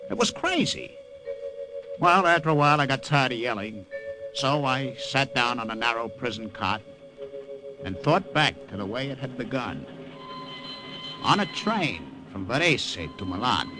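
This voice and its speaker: An actor playing a secret agent, narrating in the first person in a 1940s radio drama, wry and stammering when nervous. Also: male voice, medium pitch, lightly acted, rough recording. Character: American secret agent posing as an Italian salesman.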